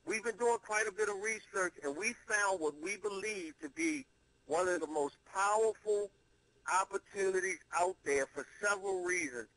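A man giving a promotional conference-call sales pitch, emphatic and salesman-like, with deliberate pauses for effect. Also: a bit bright, dry recording, rough recording. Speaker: presenter pitching an online money-making program.

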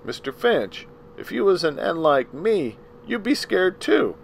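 A man voicing a polite, frightened farmhand on a witness stand, speaking softly and hesitantly. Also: lowish voice, lively intonation, moderate pace, poor audio. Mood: pleading. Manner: polite, hesitant, soft-spoken. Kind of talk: audiobook character reading.

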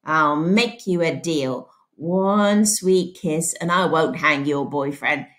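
A woman voicing a drunk, leering small-town sheriff who talks in a slow drawl. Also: low-pitched, a bit bright, voice pushed down.

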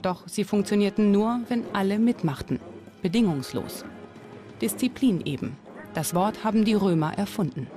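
A woman presenting a history documentary in scripted, clearly articulated and explanatory narration. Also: medium pitch, normal speed, music in the background. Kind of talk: scripted documentary narration with on-location interview questions.

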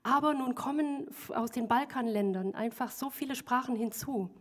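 A middle-aged woman with a fairly high voice talks into a handheld mic on a small concert stage. She speaks earnestly and animatedly, as a singer sharing her thoughts between songs. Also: moderate pace. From Germany, Hungarian father. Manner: earnest, animated, gestures while talking. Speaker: band singer. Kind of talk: on-stage interview chat. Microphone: handheld microphone.

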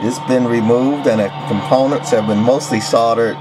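A man's voice: mid-range voice, steady tone, normal speed, over music, rough recording. A man giving a casual equipment walk-through in terse, matter-of-fact phrases, recorded indoors from behind a camcorder. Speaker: radio seller showing equipment.

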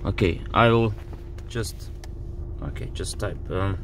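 Lithuanian guy in his car, slow frustrated english, lots of uhs, faint car hum behind. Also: sits low. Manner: frustrated, halting, many fillers. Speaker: driver demonstrating a car app. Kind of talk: casual complaint video. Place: inside a parked car.

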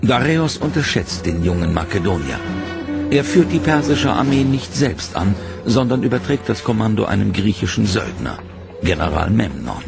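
A man narrates a history documentary in a gravelly, measured voice, his lines carried over loud orchestral music. His delivery is dramatic and deliberate. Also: on the low side, sing-song.

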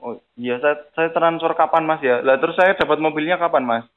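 A man with a fairly high voice chats casually on a call. His questions come across eager and naive, and the audio is a bit dull and lo-fi. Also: brisk. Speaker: prank caller playing a gullible prize winner. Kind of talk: casual phone chat.

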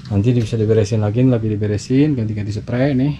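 A man's voice: fairly low pitch, fast talker, warm tone. A man talking casually while walking through a villa with a handheld camera, chatty and loose with fillers. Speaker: vlogger touring a villa. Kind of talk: casual walkthrough commentary. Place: indoors and outdoors at a mountain lakeside villa. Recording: noisy camera audio.

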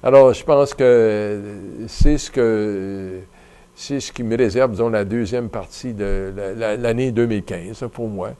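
A man in his seventies with a Canadian French accent, a retiring bishop being interviewed, who talks in a relaxed, reflective way with many fillers and restarts. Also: fairly low pitch, unhurried, clean tone. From Ottawa, Canada. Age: 70s. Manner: reflective and rambling, many fillers and restarts, gestures while talking. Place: small bright indoor studio at a table.

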